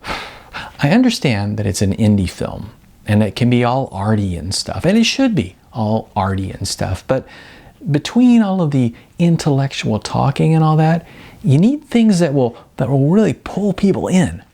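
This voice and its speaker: A narrator voicing a blunt, bubbly young aspiring actress who talks in casual, animated bursts and likes to tease. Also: mid-range voice, normal speed, voice pushed up. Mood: earnest, exasperated.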